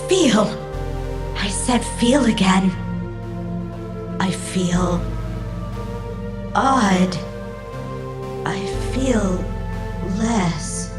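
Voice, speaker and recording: A woman playing a female robot in a cyberpunk audio drama, speaking in a halting, literal, stubborn way. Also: mid-range voice, expressive, music in the background. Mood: distressed, defiant. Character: female robot with slow, literal, stubborn manner, discovering feelings.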